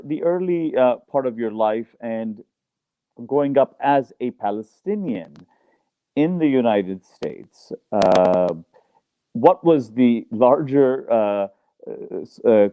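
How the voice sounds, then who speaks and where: Halting male interview host, muffled audio. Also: on the low side, dry recording. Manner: halting, many fillers and restarts. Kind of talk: interview questions.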